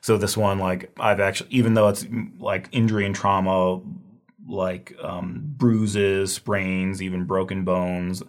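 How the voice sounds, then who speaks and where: Guy losing his voice, scratchy, kinda low, rambly teaching review w lots of ums. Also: level tone, a little slow. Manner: loose and rambling, many fillers and restarts. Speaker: herbal medicine instructor. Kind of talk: casual lecture review.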